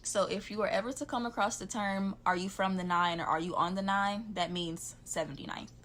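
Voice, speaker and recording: A woman's voice: medium pitch, steady tone, a little fast, fairly bright tone, a little hiss or hum. A young woman from the south side of Chicago, with a Chicago accent, chatting casually as she explains local slang. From south side of Chicago, USA.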